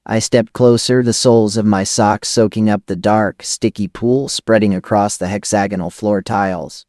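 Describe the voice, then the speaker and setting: A man playing a cynical, rattled forger who narrates a noir thriller audio drama in dramatic, clipped phrases with weighty pauses. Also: lowish voice, fairly even intonation, a little mellow, no echo, studio quality, close to an everyday voice. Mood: grim disbelief. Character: hardboiled, rattled forger of false evidence, cynical first-person narrator.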